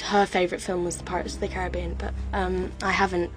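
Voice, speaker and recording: A young, medium-pitched voice answers casually in an interview. The manner is earnest, modest and slightly hesitant. Music plays behind. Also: flat delivery, fast.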